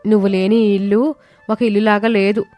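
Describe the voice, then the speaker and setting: A woman's voice: mid-range voice, little pitch movement, normal speed, darkish tone, faint music behind, close to an everyday voice. A woman voicing an emotional, plain-spoken younger daughter-in-law in a family story drama. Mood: remorseful, pleading.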